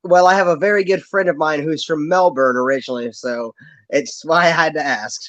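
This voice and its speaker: A man with a rural American accent and a somewhat high-pitched voice, recorded dry. He talks like an easygoing, folksy host in a casual interview, taking his time over each question. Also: a little fast, fairly bright tone, no room sound, muffled recording. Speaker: show host.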